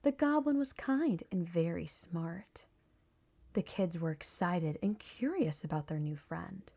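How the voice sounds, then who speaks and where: A woman reading a children's storybook aloud in a measured, expressive storytelling manner. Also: fairly low pitch. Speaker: storybook reader. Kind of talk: scripted children's story narration.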